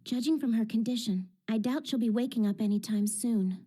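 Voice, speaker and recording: High-voiced, blunt anime teenage girl. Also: fairly quick. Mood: cool, probing. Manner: crisp, matter-of-fact explaining, slightly superior. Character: sharp, pragmatic teenage girl, blunt and self-assured. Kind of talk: English anime dub acting. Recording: loud music bed under dialogue.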